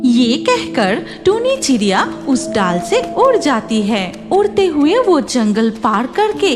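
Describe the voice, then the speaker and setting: A woman's voice: sits high, big pitch swings, slow, music in the background. A woman delivering scripted narration for a children's cartoon story.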